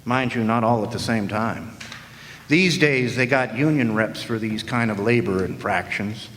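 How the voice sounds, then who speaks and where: An actor playing a wisecracking hard-boiled detective in a noir radio drama, deadpan and full of slang. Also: male voice, lowish voice, measured pace, a little hiss or hum. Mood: wry narration. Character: wisecracking hard-boiled male private detective narrating his case. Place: large hall, live stage performance. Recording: echoey hall, live stage performance.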